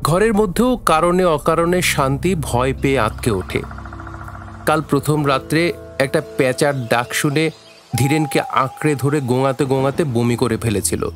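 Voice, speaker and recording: Male narrator for a radio play: medium pitch, measured literary storytelling, faint music underneath. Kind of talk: scripted story narration for audio drama.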